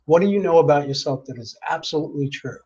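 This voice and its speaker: A man's voice: on the high side, quick pace, mellow, slightly rough. A man chatting casually as a game player, asking playful, probing questions. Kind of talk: casual chat. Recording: dull lo-fi sound.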